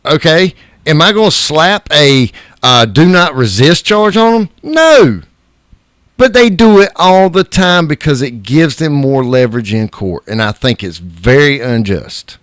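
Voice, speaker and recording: A man's voice: fairly high pitch, very animated, a little slow, a bit bright, no echo, clean studio sound. A man co-hosting a casual podcast, speaking bluntly and teasing. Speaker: podcast co-host.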